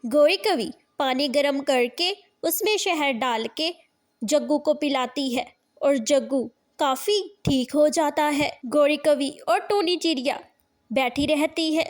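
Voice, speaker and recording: A woman with a high-pitched voice narrates a children's cartoon. She reads the scripted tale as a storyteller. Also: takes it slowly.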